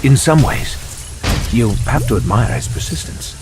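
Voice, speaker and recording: A man's voice: medium pitch, expressive, moderate pace, natural voice. A man playing a grand, self-important but bumbling alien scientist father, narrating his epic with comic asides in a video game. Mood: weary, dramatic. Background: music.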